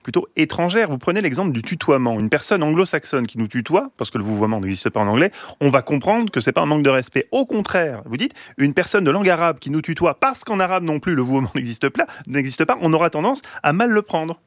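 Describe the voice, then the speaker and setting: A man hosting a radio talk show, asking questions and introducing segments, quick and lively. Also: a little high, dry recording, clean studio sound. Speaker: radio host.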